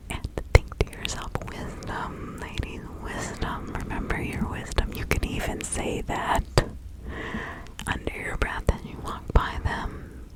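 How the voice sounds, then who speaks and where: Kindly witch healer played by a woman for ASMR roleplay: fully whispered, unhurried, reassuring, with a hushed spell-casting manner. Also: natural voice, low-quality recording. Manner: slow, hushed, soothing, incantatory. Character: kindly female village witch and spiritual healer, reassuring, protective.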